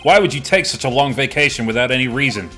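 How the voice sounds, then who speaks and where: A man's voice: mid-range voice, animated, fast talker, fairly bright tone, faint music behind, close to an everyday voice. A man playing an earnest, nervous young defense attorney. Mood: resolute. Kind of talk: video game fan dub acting.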